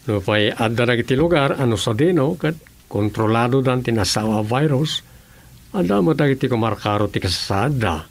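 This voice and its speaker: A man's voice: mid-range voice, animated, normal speed. A man playing a world-weary village official in a conversational radio drama style. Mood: matter-of-fact. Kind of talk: radio drama dialogue in Ilocano.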